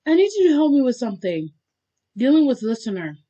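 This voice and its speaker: A woman voicing a brash, hot-tempered, bullying teenage boy with a gruff, demanding manner, in an amateur story reading. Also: mid-range voice, fast, mellow, lightly acted. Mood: bossy.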